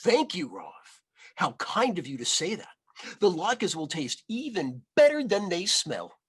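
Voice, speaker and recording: A man voicing a kindly, chatty grandmother in her nineties from a storybook, fussy, welcoming and gently scolding. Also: sits high, wildly swooping pitch, a little slow, breathy edge, full character voice. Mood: accommodating. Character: kindly, chatty ninety-seven-year-old village grandmother, hard of hearing.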